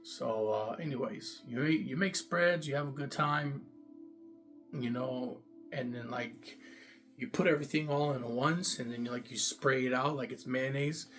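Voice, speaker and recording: A man talking straight to camera in a loose, repetitive way, full of "like" and "boom", his voice sitting in the middle for pitch. It's unpolished storytelling, with a little music playing softly behind him. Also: normal speed. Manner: loose and repetitive, many fillers, emphatic "boom". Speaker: vlogger recounting jail time. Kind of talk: casual first-person storytelling to camera.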